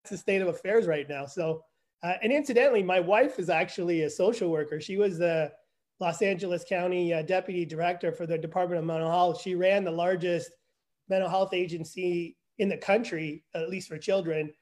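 A man in his fifties with a notably high speaking voice, chatting on a video call. He talks loosely and self-deprecatingly as a guest explaining his evaluation work. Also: fairly quick, darkish tone. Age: 50s. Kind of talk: casual conversation.

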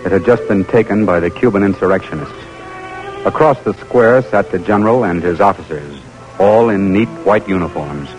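An actor playing an intense, driven American army lieutenant in an old radio drama, with a dramatic delivery. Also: male voice, sits low, moderate pace, a little mellow, faint music behind, close to an everyday voice, low-quality recording. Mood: haunted narration. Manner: dramatic, urgent. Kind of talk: old-time radio drama acting, first-person narration.

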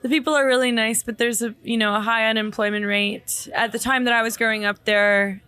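A woman's voice: fairly high pitch, steady tone, fairly quick, bright, forceful tone, dry recording. A woman in her late twenties, born in Bosnia and raised in Canada, with Bosnian as her first language, chatting easily as a guest and using casual fillers. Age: late 20s. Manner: easygoing, conversational with fillers. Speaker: musician guest. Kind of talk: casual interview chat.

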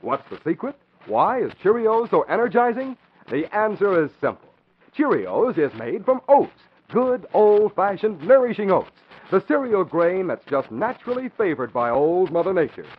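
1940s radio announcer guy, high husky voice, emphatic, tinny phone-like old recording. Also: sing-song, moderate pace. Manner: enthusiastic, emphatic. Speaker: announcer and narrator.

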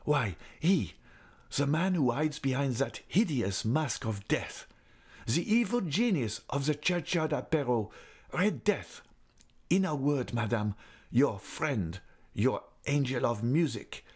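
Storybook role: passionate jealous young aristocrat, male reader, pitched up, bitter and theatrical. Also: a little slow, a little mellow. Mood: angry, jealous. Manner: melodramatic, bitterly accusing. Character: passionate jealous young nobleman, wounded and furious. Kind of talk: dramatic audiobook character reading.

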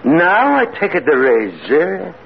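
Melodramatic, high-voiced jealous Spanish barber. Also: male voice, huge pitch swings, slow, vocal fry, exaggerated voice. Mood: seething jealousy. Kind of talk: 1950s radio comedy acting. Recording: muffled noisy radio recording, loud studio audience.

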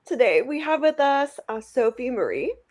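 A woman's voice: high voice, big pitch swings, moderate pace. A woman podcast co-host reading sponsor notes and introductions in an upbeat, welcoming way. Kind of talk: scripted podcast intro and hosting. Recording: music bed under the voice.

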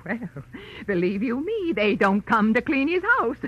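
A woman's voice: fairly high pitch, very animated, moderate pace, fairly bright tone. An actress playing a nosy, gossipy neighbor woman, prim and scandalized, in old-time radio style. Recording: muffled vintage radio recording.

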